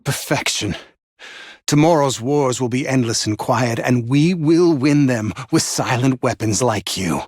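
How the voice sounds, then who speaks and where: A man playing a sinister, doting teacher in a video game, husky and intimate, with measured, admiring delivery. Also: medium pitch, a little mellow, dry recording, clean studio sound, close to an everyday voice. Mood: admiring, ominous. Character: sinister, doting male teacher praising a favoured student. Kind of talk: scripted video game voice lines.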